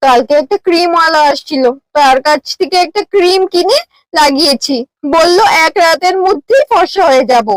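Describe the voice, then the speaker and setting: A woman's voice: very high-pitched, animated, moderate pace, dry recording, poor audio. A woman voicing a vain, whiny young village wife in a moral-story cartoon, melodramatic and complaining. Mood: wailing despair. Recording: music behind the voice.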